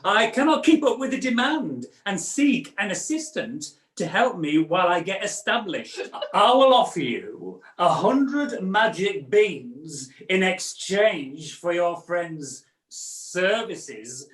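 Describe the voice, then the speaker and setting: A man's voice: high-pitched, big pitch swings, slow pace, few pauses, a bit bright, exaggerated voice. A man playing a brash, cheeky female potion peddler in an amateur pantomime, with theatrical sales patter. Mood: cheeky, bargaining. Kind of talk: amateur pantomime acting with comic songs. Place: at home, indoors. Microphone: video call. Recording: roomy home video-call recording.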